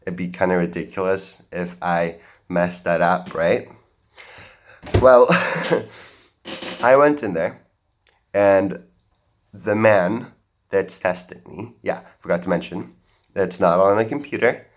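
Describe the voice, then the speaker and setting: Low-voiced young man giving a slow talk. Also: fairly even intonation. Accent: general American. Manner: earnest, halting with fillers and restarts. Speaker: speaker at a talk. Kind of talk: public talk, personal story. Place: auditorium stage.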